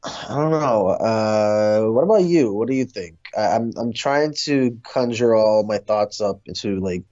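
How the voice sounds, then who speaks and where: Low-voiced man, halting and casual, dull recording. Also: animated, dark tone, no echo. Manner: hesitant, halting delivery. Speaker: film discussion host. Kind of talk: casual film discussion.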